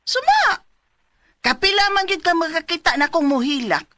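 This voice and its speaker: A woman's voice: very high voice, very animated, moderate pace, a bit bright, no room sound, exaggerated voice. An actress with a Cebuano Filipino accent playing a blunt, exasperated middle-aged mother in a radio drama, nagging dramatically. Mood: exasperated scolding. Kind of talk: Philippine radio drama acting.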